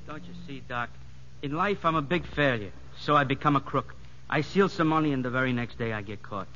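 A submissive small-time convict, acted by a man pleads in a tense, mid-pitched voice. He sounds meek and sorry for himself. It is a muffled old radio play. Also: a little fast, pushed and bright, natural voice. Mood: pleading, despairing. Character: timid, submissive, self-pitying convict embezzler. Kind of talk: 1950s radio drama acting. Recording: muffled, noisy vintage radio broadcast.

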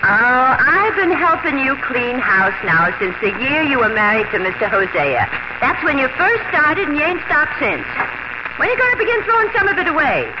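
Casting: a plain-spoken, teasing housekeeper, lively pitch, hissy old broadcast. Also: female voice, moderate pace, dull audio. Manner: plain-spoken, folksy grammar. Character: blunt working-class cleaning woman, teasing. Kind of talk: 1950 radio drama acting. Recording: noisy vintage radio broadcast.

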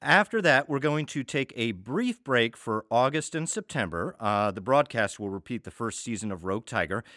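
A man co-hosting a podcast, talking through show announcements conversationally. His pitch moves around in an animated way, and the sound is dry and close, with very little room in it. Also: moderate pace, hardly any pauses, no echo. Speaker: co-host of an audio theater podcast.

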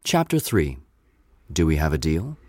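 A man reading scripted narration for an audio drama in a measured, literary manner. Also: extremely low pitch, very animated, a little mellow, no room sound. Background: music.

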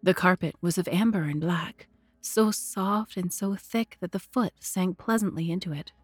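A woman narrating a literary tale as a storyteller, measured, deliberate and expressive. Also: lowish voice, no echo. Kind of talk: dramatic scripted literary narration.

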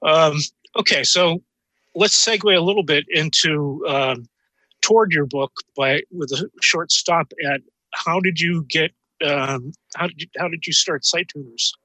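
A male interviewer with a strikingly bright, somewhat high voice and a dry, close sound. He hosts casually, phrasing questions haltingly and adding brief, interested interjections. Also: dry recording, rough recording. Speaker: interview host.

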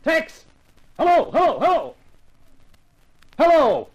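An actor playing a tough, cocky private eye with slangy, hardboiled delivery in a 1950s radio crime drama. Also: male voice, very high-pitched, big pitch swings, takes it slowly, muffled recording, heavily acted. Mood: alarmed. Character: tough, wisecracking, confident male private eye. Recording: vintage 1950s radio recording.

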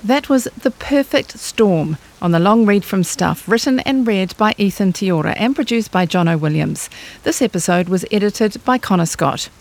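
A woman's voice: medium pitch, expressive, moderate pace. Woman reading scripted podcast credits.

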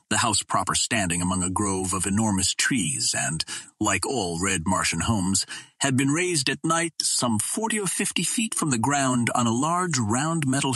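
Low-pitched man reading an adventure novel. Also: a bit bright, breathy edge, no room sound, clean studio sound. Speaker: audiobook narrator. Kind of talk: scripted narration of an adventure novel.

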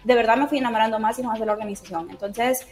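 A woman's voice: a little high, fast, a little mellow. A woman from Honduras, giving casual interview answers in an enthusiastic, explanatory way. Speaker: interviewee, project team member.

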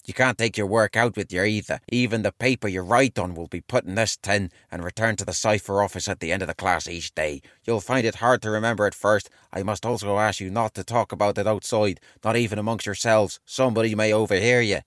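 An actor voicing a stern, practical army instructor who addresses his trainees firmly and deliberately in a wartime diary drama. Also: lowish voice, fairly even intonation, fairly bright tone, dry recording, clean studio sound, lightly acted. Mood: stern, warning. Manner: firm, deliberate.

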